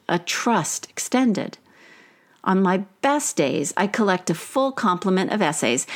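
A woman reading a personal essay aloud in measured, deliberate literary phrasing. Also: fairly low pitch. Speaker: author and teacher.

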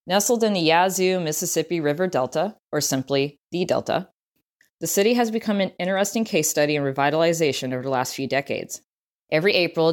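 A woman with a Southern US accent reading a scripted travel essay as narration. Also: sits low. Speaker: writer, tour guide and historian reading own essay.

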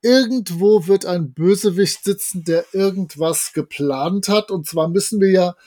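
A man with a notably high voice talks casually as a podcast co-host. He reviews things in a playful, tongue-in-cheek way, as if chatting with a friend. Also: a little slow, a little mellow. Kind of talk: casual chat reviewing an audio drama.

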